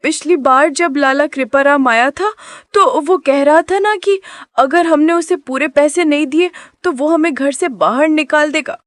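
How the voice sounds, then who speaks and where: A woman voicing an anxious, fearful poor wife in an animated story, speaking in a fretful way. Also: high-pitched, unhurried, few pauses, no room sound, studio quality.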